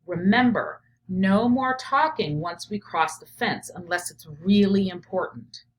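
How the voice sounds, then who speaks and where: A calm and careful dad from a children's storybook , read by a woman. He gives his child practical reminders and instructions in her mid-range voice. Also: medium pitch, measured pace, lightly acted, low-quality recording. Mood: firm, cautioning. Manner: hushed, instructive.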